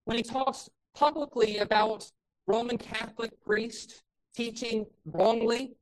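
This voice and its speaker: Woman pastor, fairly high voice, loud and impassioned in the pulpit, hits emphatic peaks. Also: fairly even intonation, measured pace, low-quality recording. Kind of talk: sermon.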